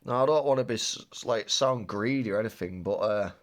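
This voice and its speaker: A rambling, excitable man talks through a card unboxing in a fairly low voice. He sounds slightly stuffed up from a cold and chats casually, drifting off topic now and then. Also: a little fast. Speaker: card-opening vlogger.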